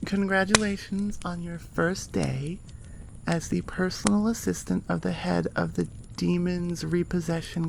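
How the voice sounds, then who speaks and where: A woman talks informally to her listeners in an unhurried, rambling way. Her voice has a lot of vocal fry, and she is self-deprecating and casual. There is clear noise behind her. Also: on the low side, rough recording. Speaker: ASMR audio creator and host.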